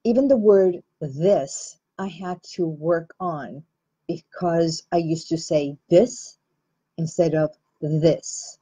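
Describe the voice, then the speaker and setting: A woman with an Armenian accent speaks slowly and earnestly, taking her time over each thought. Her voice is low, mellow and a little husky. The recording sounds muffled and lo-fi. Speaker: healing practitioner and host. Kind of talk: reflective talk to camera.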